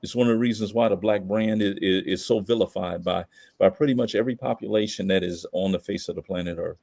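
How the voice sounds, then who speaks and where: A man's voice: on the low side, fast talker, gravelly, darkish tone. An American man giving opinionated commentary in conversation as a podcast guest, emphatic and slangy, often posing rhetorical questions.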